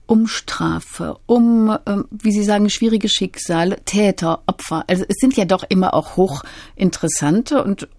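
A woman's voice: mid-range voice, measured pace, a little mellow, dry recording, clean studio sound. A woman hosting a cultural radio interview, articulate and structured as she leads the conversation. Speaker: radio host. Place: radio studio.

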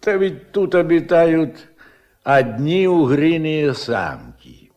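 A man's voice: a little high, very animated, slow pace, darkish tone, lo-fi sound. An actor playing a stubborn, plain-spoken village fishing foreman in a radio play, with blunt, folksy rustic speech. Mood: matter-of-fact. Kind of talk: Soviet radio play acting.

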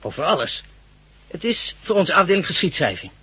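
Bossy, eager colleague, higher-pitched and very husky, vintage radio play. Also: male voice, big pitch swings, brisk, pushed and bright, heavily acted. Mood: insistent. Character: brisk, insistent male colleague, eager and bossy. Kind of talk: 1960s Dutch radio drama acting.

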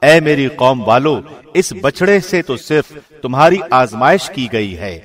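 A man reads a scripture translation in a solemn, measured and formal way, like a professional radio presenter. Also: mid-range voice, animated, a bit bright.

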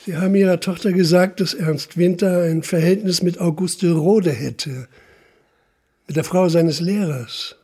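An actor playing a stern police investigator in a radio drama, laying out accusations insistently and deliberately in a gravelly voice. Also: male voice, high voice, mellow, heavily acted. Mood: insistent, accusing. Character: stern, relentless police investigator interrogating a suspect. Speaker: narrating police official. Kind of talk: German radio drama, interrogation.

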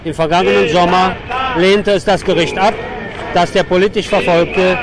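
A man's voice: high voice, steady tone, moderate pace. A man giving an on-location radio report from a protest outdoors, with an opinionated, sardonic edge. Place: outdoors on a city avenue at a demonstration. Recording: noisy city street with traffic. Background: clear street and traffic noise.

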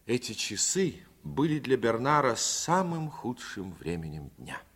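A man narrating a 1950s radio drama as a storyteller, phrasing expressively and deliberately with dramatic pauses. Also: mid-range voice, sing-song, fairly bright tone, husky, heavily acted. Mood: wry. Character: male storyteller fond of the coast and its people. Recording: 1950s radio recording, faint music behind.

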